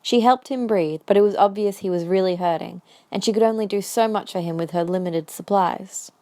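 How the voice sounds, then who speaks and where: A woman's voice: mid-range voice, brisk, dark tone. A woman reading scripted story narration for an audio drama as the narrator.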